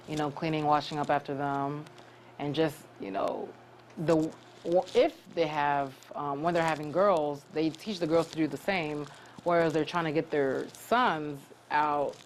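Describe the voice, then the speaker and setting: A young woman from Cameroon, a graduate student, answering interview questions earnestly with many pauses and restarts. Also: sits low, a little hiss or hum, low-quality recording.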